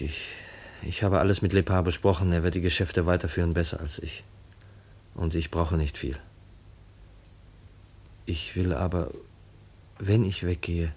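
Low, husky, brooding young man. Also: fairly even intonation, measured pace, long pauses, darkish tone. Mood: brooding, resolute. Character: brooding, resolute young male heir, gloomy. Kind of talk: 1950s German radio play acting.

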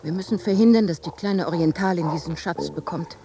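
An actress playing an earnest, devoted noble daughter in a literary radio drama, with formal, refined phrasing. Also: female voice, fairly low pitch, brisk, very noisy, natural voice. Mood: longing, urgent.

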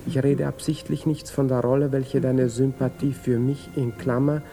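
An actor playing a cool, politely evasive lover, reading out a formal letter in a measured way in a 1950s radio drama. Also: male voice, mid-range voice, flat delivery, warm tone, natural voice. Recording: hissy 1950s radio recording.

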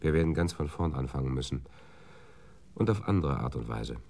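An actor playing a dry, wry police detective in a radio crime drama. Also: male voice, very deep voice, animated, moderate pace, vocal fry, a little mellow, exaggerated voice.

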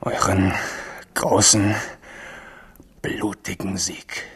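A man's voice: low-pitched, level tone, very slow, heavily acted. An actor playing a bitter young captive prince who broods and curses his victors in a radio play. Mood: venomous despair. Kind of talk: 1970s radio play acting, brooding monologue.

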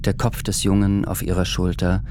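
A man narrating a literary radio drama in a measured, deliberate storytelling manner. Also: deep voice, completely flat delivery, crowd noise.

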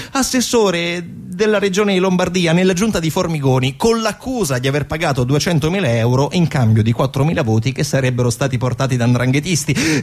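A man performing a theatrical narrative monologue, with dramatic pauses, rhetorical questions and conversational asides. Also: on the high side. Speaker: stage actor and narrator.

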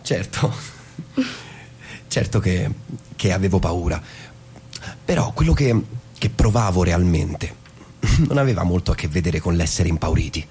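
An actor playing a restless, disillusioned American husband in theatrical stage-drama style, intense and bitter. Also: male voice, deep voice, animated, unhurried, long pauses, darkish tone. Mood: reflective, intimate. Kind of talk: stage-drama acting with literary monologues. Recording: music behind the voice.

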